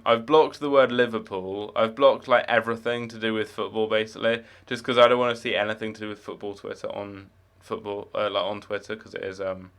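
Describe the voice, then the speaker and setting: A man's voice: medium pitch, fairly bright tone. A young man with no marked accent, chatting casually while live-streaming a game, rambling with many fillers and restarts.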